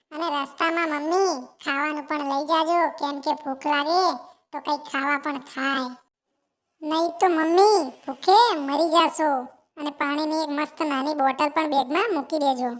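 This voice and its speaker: A young cartoon sparrow chick, squeaking at an extremely high pitch. It is eager and caring, with an insistent, repetitive, pleading way of talking suited to a children's moral story. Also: a bit bright. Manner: pleading, insistent, repeats itself. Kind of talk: animated children's story dialogue.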